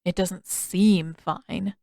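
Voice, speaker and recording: A woman's voice: lowish voice, fairly even intonation, extremely slow, vocal fry, a little mellow, no echo, clean studio sound, heavily acted. A woman voicing an awkward, smitten teenage boy in a storybook reading, with casual, hesitant, blurting speech. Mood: awkward, apologetic.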